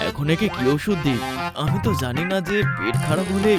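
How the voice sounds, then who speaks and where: A man's voice: on the high side, animated, fairly quick, over loud music, lightly acted. A man playing a bluffing, nervous young village doctor in a cartoon, hesitant yet reassuring. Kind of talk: cartoon dialogue.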